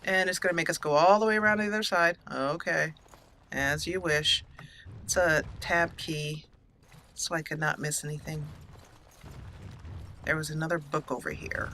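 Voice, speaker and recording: A woman's voice: sits low, fairly even intonation, moderate pace, long pauses, bright, forceful tone, slight background noise. A woman hosting a let's play, casually commentating and storytelling in a roleplay style.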